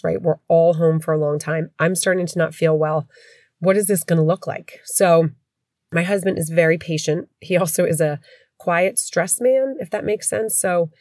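A woman's voice: sits low, a little mellow, no room sound, studio quality. A middle-aged woman with a stuffy head cold, chatting candidly as a podcast host from home. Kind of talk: casual podcast chat.

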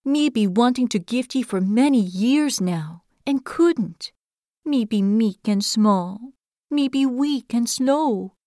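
A woman's voice: on the high side, lively intonation, slow pace, mellow, no echo, clean studio sound, voice pushed up. A woman voicing a tiny, timid but brave male hedgehog who speaks in quaint broken grammar. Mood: earnest.

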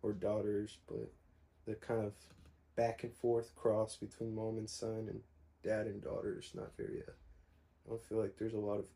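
A man in his twenties, co-hosting a casual podcast with his mother. His voice is mellow and dark with a fairly flat delivery. He rambles loosely with plenty of fillers. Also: on the low side, normal speed, creaky. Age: 20s. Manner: relaxed, rambling, many fillers. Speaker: podcast co-host. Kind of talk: casual conversation.

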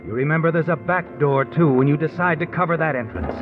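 Guy playing creepy knowing american narrator, fairly high voice, old radio suspense with music behind. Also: phone-quality sound, close to an everyday voice. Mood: ominous, taunting. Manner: deliberate, taunting, dramatic. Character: ominous, knowing narrator addressing the killer directly. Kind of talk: 1950s radio suspense narration in second person.

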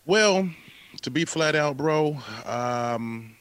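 A man in his late thirties in a casual podcast conversation, talking with animated emphasis, repeating phrases and using casual slang. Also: a little high, drawn-out words, clean tone, dry recording. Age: late 30s.

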